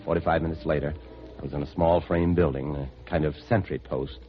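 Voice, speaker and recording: Worried, insistent brother and narrator: very low American voice, earnest 1950s radio drama, muffled old recording. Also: male voice, steady tone, a little fast, soft background music. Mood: tense narration. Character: worried, insistent man searching for his missing brother, narrating. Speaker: lead actor and first-person narrator.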